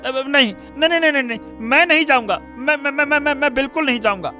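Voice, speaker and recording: A cowardly, lazy village thief in a cartoon tale. The voice is extremely high for a man, sharp and forceful, with a flustered habit of repeating words. Faint music plays underneath. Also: fast talker. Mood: panicked pleading. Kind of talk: Hindi cartoon moral-story voice acting.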